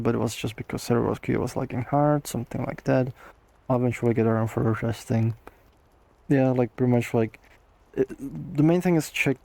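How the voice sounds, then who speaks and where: A man's voice: mid-range voice, creaky, warm tone. A tired young man hosting a casual livestream Q&A, rambling with lots of fillers and restarts. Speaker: host answering viewer questions.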